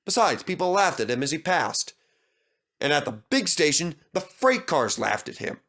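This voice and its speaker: A man narrates a children's story from a script. His pitch runs a little high and moves widely, as befits a storyteller. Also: big pitch swings, normal speed, pushed and bright.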